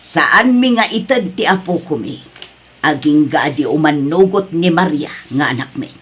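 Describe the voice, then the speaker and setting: A woman playing a stern, worried grandmother in an Ilocano drama, with a husky voice and Ilocano accent. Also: deep voice, lively intonation, measured pace. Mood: stern, hurt. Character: stern, worried grandmother rebuking her son-in-law. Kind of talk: Ilocano radio-style drama acting.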